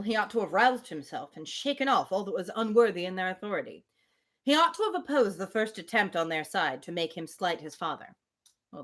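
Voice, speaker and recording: A woman with a mid-pitched voice reads a classic novel aloud for a live stream. Her delivery is expressive, moving easily between formal prose and casual, playful chat. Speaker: host reading a classic novel on livestream.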